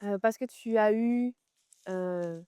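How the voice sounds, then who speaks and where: A woman's voice: mid-range voice, takes it slowly, warm tone, smooth, no echo. A woman hosting an interview outdoors on a trail, chatting in an upbeat, encouraging way as she walks with her guest. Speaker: host. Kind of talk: casual interview while walking.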